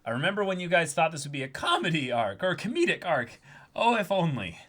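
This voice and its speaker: A man co-hosting a manga reading stream, talking casually in an emotive, halting and emphatic way. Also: a little high, sing-song, fairly bright tone. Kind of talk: casual livestream chat and reactions.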